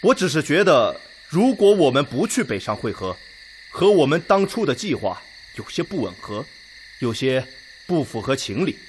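An actor playing a measured, weighty Red Army commander who consults his officers in a Chinese radio drama. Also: a little high, very animated, slight background noise. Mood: grave, measured.

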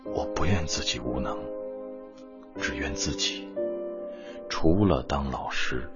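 The inner narration of a weary, brooding teacher in a radio drama. The voice is extremely deep and measured. Loud music plays under a slightly dull recording. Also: male voice. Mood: brooding, reflective. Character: weary male teacher narrating his own hardship, reflective, melancholy.

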